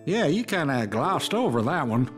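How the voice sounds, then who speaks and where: A folksy saloon regular in a Western game, opinionated and quick to challenge. His voice sits high for a man, over loud music. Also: big pitch swings. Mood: skeptical.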